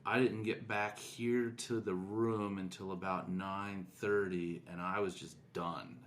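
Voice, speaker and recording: A man's voice: sits low, flat delivery, measured pace, a bit bright. A middle-aged man co-hosting a podcast in casual, conversational style. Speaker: podcast co-host.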